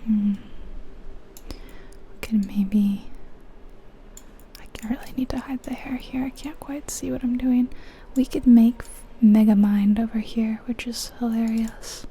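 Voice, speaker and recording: A woman whispering softly and breathily in an unhurried, musing ASMR commentary on a video game. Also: on the high side, steady tone, a little hiss or hum. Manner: hushed, unhurried, musing aloud.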